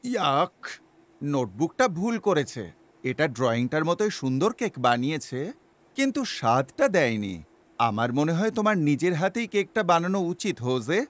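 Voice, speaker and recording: An actor voicing a cautious, sensible companion in a children's cartoon, speaking in an expressive, advising way. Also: medium pitch, moderate pace, natural voice. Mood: cautioning. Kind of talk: children's animated cartoon dubbing.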